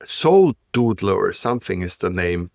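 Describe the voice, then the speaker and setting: A man's voice: low-pitched, big pitch swings, moderate pace, creaky, a little mellow, no echo, studio quality. A man hosting a podcast in casual chat, rambling and stumbling over his words while searching for them. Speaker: podcast host.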